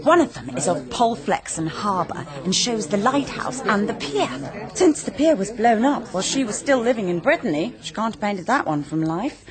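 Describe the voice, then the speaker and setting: An actress playing a sharp, scornful upper-class Englishwoman with a British accent in a radio drama, cutting and knowing. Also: mid-range voice, animated, moderate pace, a crowd in the background, natural voice.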